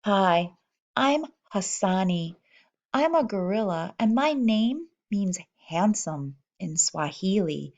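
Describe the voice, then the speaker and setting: A woman reading a children's picture book aloud in an expressive manner, voicing animal characters in the first person. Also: medium pitch, takes it slowly, dull audio. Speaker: storybook reader.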